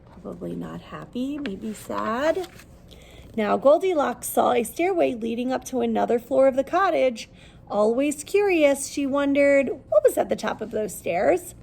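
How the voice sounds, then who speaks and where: A woman's voice: high voice, expressive, a little slow, vocal fry, darkish tone. A woman reading a children's story aloud expressively, putting questions to her listeners as she goes.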